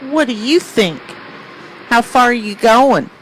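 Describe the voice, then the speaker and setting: Weary, chatty hitchhiker girl, performed by a man, radio drama. Slangy, slow delivery over car noise. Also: very high-pitched, animated, fairly bright tone, pitched above the performer's own voice, heavily acted, rough recording. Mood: curious, tired. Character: chatty, weary young female hitchhiker, folksy and grateful. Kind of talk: radio play acting.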